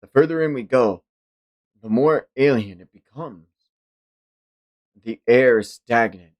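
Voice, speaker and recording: A man's voice: medium pitch, measured pace, lo-fi sound, close to an everyday voice. Man acting out terse, commanding manga hunter. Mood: wary.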